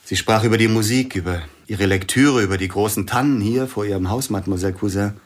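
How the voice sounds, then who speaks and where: An actor playing a grieving older pianist in a radio play, brooding and suspicious, often sinking to a hushed near-whisper. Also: male voice, on the low side, quick pace, close to an everyday voice.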